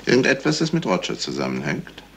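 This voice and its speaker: An actor playing a middle-aged investigator in a 1970s radio crime play, courteous, measured and insistently probing. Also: male voice, sits low, huge pitch swings, a little hiss or hum, full character voice. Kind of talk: 1970s German radio crime drama acting.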